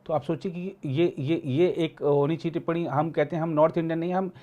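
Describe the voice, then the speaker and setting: A man speaking as an editor and commentator in a political discussion, halting and often repeating words and phrases. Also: on the high side, steady tone, dark tone, lo-fi sound.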